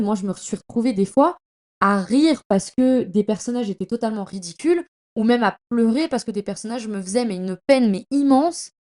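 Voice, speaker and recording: A young woman chatting casually as a podcast host, in a slangy, effusive and emphatic way, often halting and stumbling over her words. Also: a little high, dark tone. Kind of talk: casual, chatty monologue about reading.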